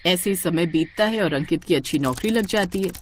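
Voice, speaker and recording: A woman narrating a scripted story in an expressive storytelling style. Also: on the low side, fairly quick, slight background noise.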